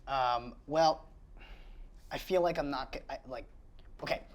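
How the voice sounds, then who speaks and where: A man playing a tabletop roleplaying game, chatting casually and hesitantly thinking his moves out loud. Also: medium pitch, measured pace. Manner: animated, hesitant while working things out.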